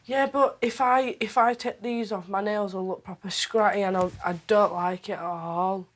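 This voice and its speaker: A woman's voice: mid-range voice, fairly even intonation, normal speed, slight background noise. A schoolgirl argues in a stroppy, pleading tone. Faint clicks run behind her. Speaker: pupil.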